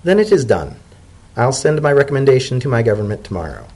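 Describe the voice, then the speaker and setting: A man voicing an elderly female zebra scholar-diplomat in a storybook reading, genteel, shrewd and indulgent, with measured, formal, gently wry speech. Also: mid-range voice, very animated, pitched above the performer's own voice. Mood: warm, satisfied.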